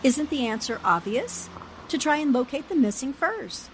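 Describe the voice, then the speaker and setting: A woman acts out a shrewd newspaper sleuth in a forties radio drama. She sounds brisk, assured and emphatic in a fairly high voice. Light ambient noise is behind her. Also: very animated. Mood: confident, deducing. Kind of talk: 1940s radio drama acting.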